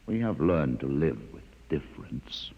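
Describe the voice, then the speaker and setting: An actor playing a calm, educated mutant leader, courteous but menacing, with measured formal speech in a 1950s radio drama. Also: male voice, a bass voice, husky, heavily acted. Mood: composed, proud. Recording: muffled vintage radio, long reverb.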